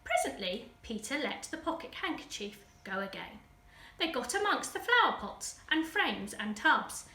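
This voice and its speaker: High, animated English woman reading a storybook. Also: normal speed. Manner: animated, dramatic emphasis for young listeners. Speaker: school teaching assistant reading a story. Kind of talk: expressive children's storybook narration. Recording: roomy echo, distant microphone.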